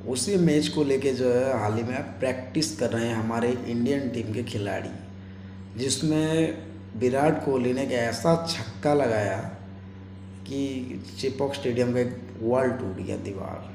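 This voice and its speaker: A man's voice: medium pitch, unhurried, darkish tone. A man, giving casual, colloquial sports commentary to camera like a vlogger chatting with friends. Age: 20s. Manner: colloquial, chatty, addresses viewers as brothers. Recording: roomy echo, faint background noise.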